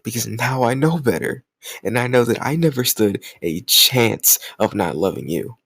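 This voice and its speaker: A man's voice: mid-range voice, expressive, moderate pace, creaky, fairly bright tone, slightly rough, dry recording, full character voice. A man playing a jealous, stubborn young lover in an intimate romantic audio roleplay, speaking emotionally and insistently in casual language. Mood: frustrated confession. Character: jealous, stubborn young male lover confessing his love.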